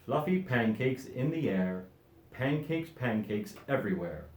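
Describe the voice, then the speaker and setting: A man's voice: mid-range voice, sing-song, unhurried, reverberant. A man voicing a crazy, carefree male duck in a children's storybook, with a breezy, playful manner. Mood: silly singing. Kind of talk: children's storybook read-aloud.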